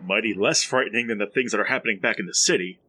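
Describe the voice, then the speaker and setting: A man's voice: fairly low pitch, fast, sharp tone, husky. A man playing a stern, obsessed, irritable chemist in a retro radio drama, curt and commanding. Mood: gruff, impatient. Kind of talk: retro 1940s-style radio drama acting.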